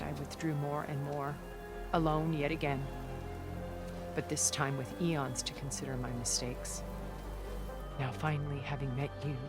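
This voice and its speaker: Video game role: scheming, regretful elite woman, middle-aged, low register, articulate and theatrical, over music. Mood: wistful, confessional. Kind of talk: dramatic video game cutscene acting.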